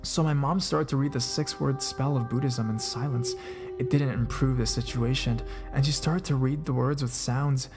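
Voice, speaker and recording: A man calmly narrating reader-submitted ghost stories in a measured, scripted way over guitar music. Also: medium pitch. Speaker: narrator of a ghost story channel.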